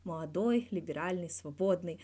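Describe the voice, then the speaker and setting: A woman's voice: on the low side, moderate pace, mellow, natural voice. A woman voicing a persistent male journalist in an audiobook, putting direct, pressing questions. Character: persistent, probing adult male journalist interviewer.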